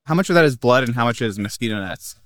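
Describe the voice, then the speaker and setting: Fast-talking joking man. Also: mid-range voice, expressive. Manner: joking, curious questioner. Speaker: conversation prompter. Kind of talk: casual group conversation.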